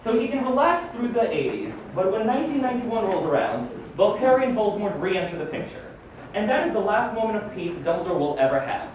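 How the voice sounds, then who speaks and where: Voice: medium pitch, sing-song, fairly quick, darkish tone, lightly acted. A performer playing a wizard headmaster who reads his own biography aloud to a live gathering, with theatrical delivery and wry, amused asides. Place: live book launch party in a room. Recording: echoey noisy room, distant microphone.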